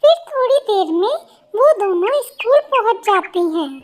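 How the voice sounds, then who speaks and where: A woman narrates a scripted children's tale as storyteller. Her voice is extremely high and girlish, with faint noise and birdsong behind it. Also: expressive, moderate pace.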